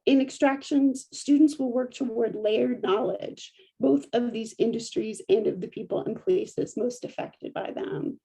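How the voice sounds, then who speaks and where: A middle-aged woman professor giving a measured lecture, her voice a little affected by allergies, with frequent small restarts. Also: fairly high pitch, creaky, mellow, husky, poor audio.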